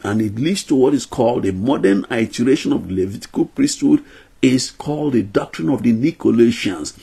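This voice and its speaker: Older man teaching, husky gravelly voice. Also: medium pitch, expressive, fairly quick, dark tone. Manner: earnest, emphatic, counts out numbered points. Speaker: Bible teacher. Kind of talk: teaching a Bible lesson to camera. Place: small indoor room at home.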